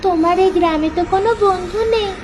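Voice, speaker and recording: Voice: very high voice, fairly even intonation, fast talker, noisy background. A performer voicing a mysterious, playful little village girl in a children's cartoon, with a coaxing, childlike manner. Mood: friendly, curious. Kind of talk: children's animated horror cartoon dialogue. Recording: music behind the voice.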